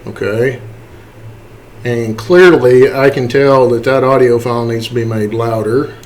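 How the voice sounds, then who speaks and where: A man's voice: medium pitch, measured pace, darkish tone, slight background noise. A man giving a casual, unscripted tutorial in a folksy, self-deprecating way. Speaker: tutorial host. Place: indoors, voice-over to screen capture.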